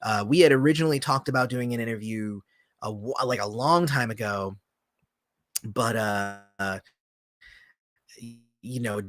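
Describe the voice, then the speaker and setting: A man hosting a casual livestream, answering viewer comments in a relaxed, rambling way with lots of fillers, often trailing off softly. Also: medium pitch, unhurried, pauses often, no room sound. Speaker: channel host.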